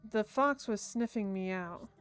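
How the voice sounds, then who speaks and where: A woman streaming a game, reading a visual novel aloud in an expressive way with casual asides. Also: mid-range voice, measured pace, clear, resonant tone, soft background music.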